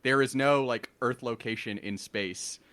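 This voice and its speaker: A man co-hosting a podcast in casual chat, animated and quick to laugh. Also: medium pitch, no echo. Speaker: podcast co-host.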